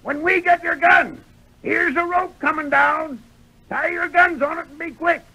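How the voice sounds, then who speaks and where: Shrill, creaky old prospector. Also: male voice, fairly even intonation, measured pace, sharp tone, heavily acted, rough recording. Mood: shouting threats. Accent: American. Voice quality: creaky, cracked high voice. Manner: folksy frontier drawl, blustering. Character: gruff, feisty old Western prospector. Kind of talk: 1930s-40s radio western acting. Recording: vintage radio recording.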